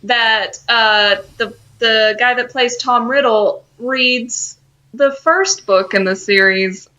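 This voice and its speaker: A woman's voice: fairly high pitch, slow, bright, forceful tone. A woman co-hosting a podcast, playful and teasing, bantering casually or reading fan fiction aloud, on a slightly dull lo-fi mic. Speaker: podcast co-host.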